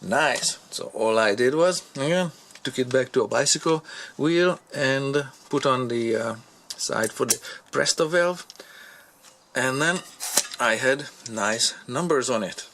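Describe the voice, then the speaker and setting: A man talks through a product in a casual, hands-on review at a workbench. His delivery is halting, as if working out each thought aloud, at a medium pitch. There is some noise behind him. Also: fairly bright tone. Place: indoor workshop at a workbench. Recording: clear background noise, handling clicks.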